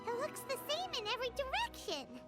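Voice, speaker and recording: A woman voicing a tiny, excitable childlike fairy companion in a video game, squeaky and quick to exclaim or grumble. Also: exaggerated voice. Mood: surprised, curious. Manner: exclaiming, suspicious, refers to herself by name. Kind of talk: animated video game voice acting. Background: music.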